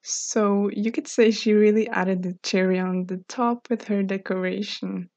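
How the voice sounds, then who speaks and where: A woman's voice: medium pitch, fairly even intonation, unhurried, darkish tone, no echo. A woman in her twenties from Norway narrating her game building video in a friendly, chatty way, with fillers and light laughs. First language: Norwegian. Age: 20s. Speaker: Sims speed-build YouTuber. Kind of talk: casual voice-over narration. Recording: slightly dull, dry home recording.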